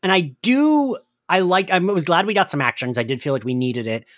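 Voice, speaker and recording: Male podcast host, lively swooping delivery, casual and chatty, dry close sound. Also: high voice, brisk, no room sound. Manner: animated, chatty, filler-heavy with frequent "like". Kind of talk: casual recap discussion.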